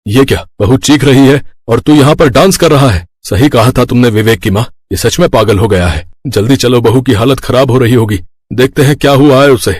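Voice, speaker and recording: A man's voice: mid-range voice, brisk, darkish tone, no room sound, lightly acted. Man playing a sensible older father, dry recording. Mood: alarmed. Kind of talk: cartoon moral-story acting.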